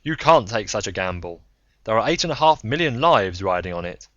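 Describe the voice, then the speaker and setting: A man's voice: fairly low pitch, very animated, fairly quick, fairly bright tone, no echo, dull audio. A man voicing a tense, harried male agency official with a harsh, urgent manner in an audiobook story.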